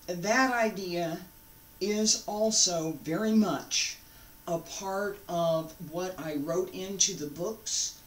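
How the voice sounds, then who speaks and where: A woman in her sixties giving an informal invitation to camera, speaking deliberately in short phrases with pauses, recorded at home on a distant microphone. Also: on the low side. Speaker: founder of a radio drama show. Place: carpeted room at home, indoors. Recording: echoey room, distant microphone.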